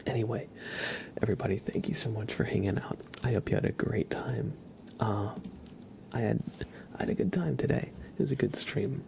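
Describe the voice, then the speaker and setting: A man speaking in a soft, breathy whisper, chatting casually with viewers as an ASMR livestream host. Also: lowish voice, a little fast, a little hiss or hum.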